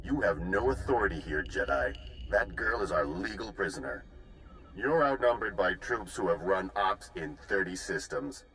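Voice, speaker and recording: A man's voice: low-pitched, lively intonation, normal speed, fairly bright tone, poor audio. A man voicing an arrogant, menacing mercenary commander in a video game, with a taunting, threatening manner. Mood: smug menace. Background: game music.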